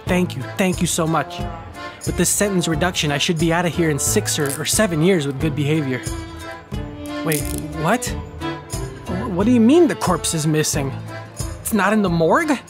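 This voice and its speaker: Guy doing a freaked out prisoner, stammers and begs, voice on the high side, music under it. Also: lively intonation, normal speed, close to an everyday voice. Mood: relieved, hopeful. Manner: stammering, panicked pleading. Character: desperate male prisoner, terrified and pleading.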